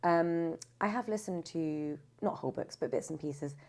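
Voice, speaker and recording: Low-pitched woman in conversation. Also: moderate pace, a little mellow. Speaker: podcast guest, audiobook narrator and voice actor. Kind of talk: casual conversational interview.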